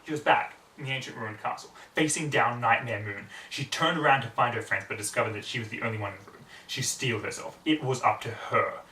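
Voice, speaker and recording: A man's voice: mid-range voice, fast talker, hardly any pauses, pushed and bright. A young man reading a story aloud as a dramatic, earnest narration, recorded in a small home room on a distant camera mic. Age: young adult. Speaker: story reader. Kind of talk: dramatic fan fiction narration. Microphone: distant camera microphone. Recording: roomy, distant mic, background noise.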